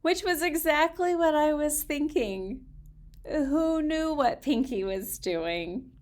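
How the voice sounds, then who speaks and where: A woman storyteller talking casually and reading aloud with expressive, dramatic emphasis. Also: high-pitched, takes it slowly.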